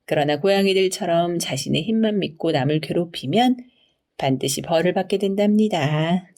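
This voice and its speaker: A woman reading scripted bedtime fairy tales for children in an expressive storytelling manner. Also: lowish voice, moderate pace. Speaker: storybook reader.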